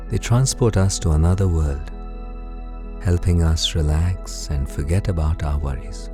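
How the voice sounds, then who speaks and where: A man gently and calmly narrating a scripted bedtime story for guided sleep meditation, soothing and unhurried. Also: deep voice. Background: music.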